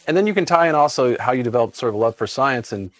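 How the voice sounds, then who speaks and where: A man reporter leads an interview with relaxed, conversational questions. He gently probes and follows up on his guest's answers. His voice sits at a middle pitch. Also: very fast, no echo. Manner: conversational, probing.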